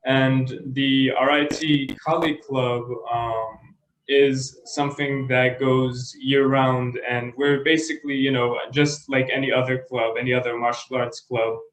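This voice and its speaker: A man's voice: mid-range voice, flat delivery, unhurried, a bit bright. A man hosting a martial arts club's online Q&A over a video call, hesitant with many fillers. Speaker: club host and moderator. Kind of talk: moderating a Q&A. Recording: echoey room.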